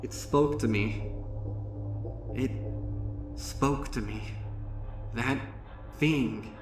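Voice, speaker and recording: A man voicing an anguished, horrified pony in a horror story reading, with a dramatic, halting, haunted delivery. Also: medium pitch, recorded from a distance. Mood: haunted, grim. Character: anguished, horrified pony recounting burning a possessed friend. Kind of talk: first-person horror monologue in fan fiction reading. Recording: echoey voice with music underneath. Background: music.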